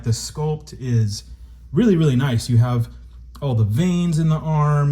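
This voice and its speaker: A man with a dark, mellow voice reviews a toy for his viewers, casual and chatty. He sounds a little stuffy, getting over a cold. His delivery is eager and informal. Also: mid-range voice. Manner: excited, chatty, addresses viewers as guys. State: stuffy, recovering from illness.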